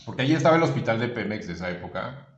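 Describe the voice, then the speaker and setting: A man's voice: on the low side, sing-song, racing. A man from Veracruz with a Mexican accent chatting casually with an old friend, rambling and often searching for his words. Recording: roomy echo, dull lo-fi sound.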